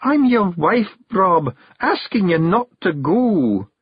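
A man's voice: sits high, big pitch swings, a little fast, breathy edge, no room sound, highs cut off, pitched above the performer's own voice, exaggerated voice. A man voicing a tiny fairy clan wife with a Scottish accent, bossy yet tender, in a storybook reading. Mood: tearful pleading. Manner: sharp and bossy, pleading when worried.

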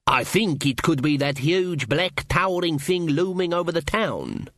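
A man's voice: fairly high pitch, very animated, unhurried, fairly bright tone, no room sound, pitched above the performer's own voice, exaggerated voice. A man voicing a short, wry, unimpressed male dwarf in a storybook, with a dry manner. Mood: deadpan.

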